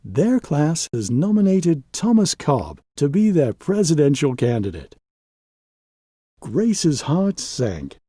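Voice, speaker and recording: A man reading a children's picture book aloud as an expressive storyteller, with measured, dramatic phrasing and pauses for emphasis. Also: a little high, husky, no room sound, studio quality.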